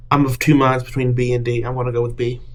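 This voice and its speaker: A man's voice: medium pitch, a little hiss or hum. A man playing a board game, chatting casually and reading out quiz questions.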